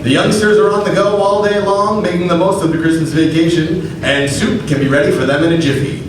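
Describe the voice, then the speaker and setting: A man's voice: high-pitched, fairly even intonation, fairly quick. A man delivering a formal, declamatory old-time radio announcement. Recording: echoey room from far off, noisy.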